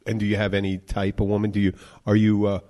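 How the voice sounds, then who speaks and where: A man's voice: on the low side, completely flat delivery, quick pace, dark tone. Fifties guy hosting a podcast, rambly, does little voices for quotes. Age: 50s. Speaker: podcast host, bartender. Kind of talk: casual chat.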